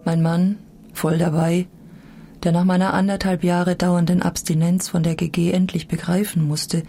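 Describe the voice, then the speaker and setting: A woman's voice: lowish voice, level tone, moderate pace, dark tone, close to an everyday voice. An actress playing an embittered woman who left a sect, giving dry, sardonic, matter-of-fact testimony in a radio drama. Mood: flatly hurt.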